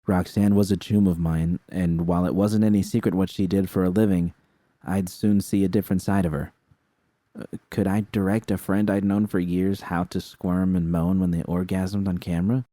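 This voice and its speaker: A man with a deep, dark-toned voice reads first-person fiction as a narrator. The sound is very dry and close, with no room on it. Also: flat delivery, moderate pace, dry recording.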